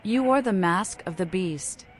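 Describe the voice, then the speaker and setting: A woman's voice: medium pitch, lively intonation, a little slow. A woman voicing a mad, knowing female vampire in a video game, speaking in a theatrical, riddling, poetic manner. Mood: cryptic. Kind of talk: video game mod voice acting.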